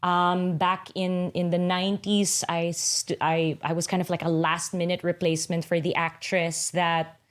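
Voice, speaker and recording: A woman's voice: on the low side, level tone, a little slow, hardly any pauses. A middle-aged woman from the Philippines, a singer and actress, chatting as a guest in an interview with many fillers and restarts. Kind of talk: casual interview conversation.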